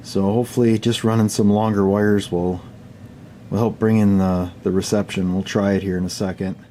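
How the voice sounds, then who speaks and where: A man's voice: lowish voice, little pitch movement, moderate pace, warm tone. A man narrating a hands-on radio hobby video in a casual, unscripted way, thinking aloud as he goes. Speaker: hobbyist demonstrating shortwave radio reception. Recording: heavy background noise, amateur camera recording.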